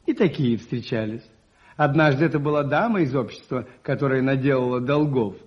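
An actor playing a mild, modest priest-detective in a radio play, hesitant and self-effacing with gentle irony. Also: male voice, mid-range voice, animated, fairly quick, natural voice. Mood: quietly wry. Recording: muffled 1981 radio recording.

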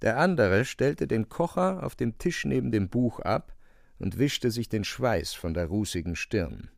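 A man narrating a literary radio drama with wry, expressive storytelling. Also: on the low side, a little slow, vocal fry, dry recording, clean studio sound.

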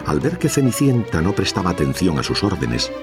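A man's voice: sits low, sing-song, a little fast. A man narrating a children's fairy tale from a script, as a storyteller. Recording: music behind the voice.

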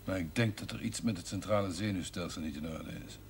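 A grave, cautious doctor in a seventies TV drama. His voice is fairly low and his delivery measured and formal, with faint noise on the old soundtrack. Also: gravelly. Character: grave, cautious doctor giving a worrying prognosis. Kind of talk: 1970s Dutch television drama acting. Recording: slightly noisy old TV soundtrack.

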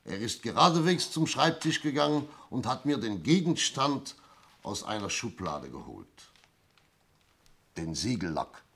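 An actor playing a shrewd police inspector in a 1960s German radio crime play, deliberate, teasing and courteous. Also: male voice, medium pitch, big pitch swings, fairly bright tone. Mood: triumphant, explaining. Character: shrewd, theatrical male police inspector, toying with suspects.

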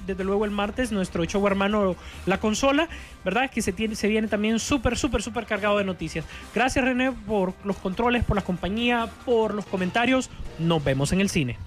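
A man with a Honduran accent hosting a film radio show in a casual, chatty way, often repeating words as he goes. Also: sits high. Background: background music.

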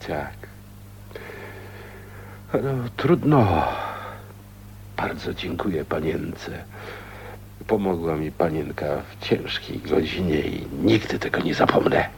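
A man's voice: low voice, lively intonation, slow, creaky, long pauses, exaggerated voice. An actor in a radio play voicing a blunt, kind-hearted older working man with folksy, hearty speech. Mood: gruff gratitude. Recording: dull, noisy radio drama recording.

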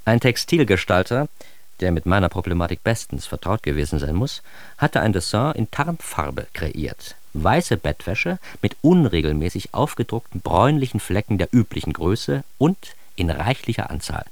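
Wry confessional son, low voice, very animated, mock-formal, ironic, dry close radio-drama sound. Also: male voice, normal speed, no room sound. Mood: ironic, self-mocking. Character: grown son wryly confessing his embarrassed adolescence. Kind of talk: first-person radio drama narration.

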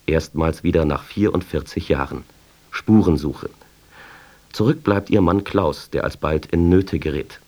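A man announcing a radio programme preview in a formal, measured, scripted style. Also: low voice, a little mellow.

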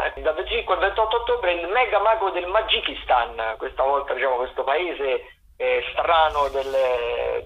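A man presenting theatre listings as a chatty, playful critic over a phone line. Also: on the high side. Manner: playful, discursive, with asides. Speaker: theatre critic, radio contributor. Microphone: telephone.

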